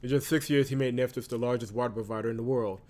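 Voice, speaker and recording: A man's voice: medium pitch, steady tone, quick pace, noisy background. A man hosting a visual novel playthrough, reading the narration aloud and reacting casually. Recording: loud game music behind voice. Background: game music.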